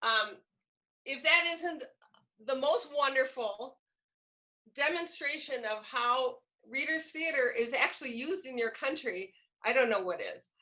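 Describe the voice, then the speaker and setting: A woman's voice: sits high, animated, measured pace, pushed and bright, rough recording. A woman giving an informal training presentation in a conversational, enthusiastic manner.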